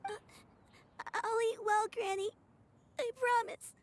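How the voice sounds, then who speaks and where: A woman's voice: extremely high pitch, fairly even intonation, slow. A woman voicing a small, devoted little girl with an earnest, plaintive, childlike manner in a video game. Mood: tearful, promising.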